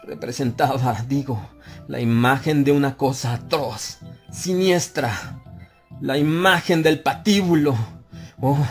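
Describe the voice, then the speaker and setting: A man reading a horror story aloud as an audiobook narrator, in a slow, brooding, confessional delivery that swells into anguished outcries. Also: mid-range voice, darkish tone.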